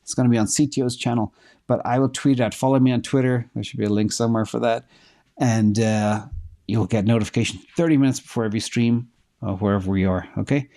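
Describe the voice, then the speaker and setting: A man's voice: mid-range voice, fairly even intonation, quick pace, warm tone. A middle-aged man who is losing his voice hosts a casual livestream, answering viewer questions and thinking aloud with fillers while he checks data.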